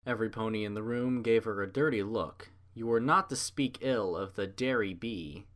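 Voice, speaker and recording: A man's voice: fairly low pitch, normal speed, fairly bright tone. A man reading a story aloud as narrator, in a scripted storybook style.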